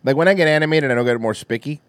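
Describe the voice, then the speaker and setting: A man co-hosting a crude, casual podcast chat, loud and profane, with a lot of laughing. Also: a little high, very fast, dry recording. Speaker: podcast co-host.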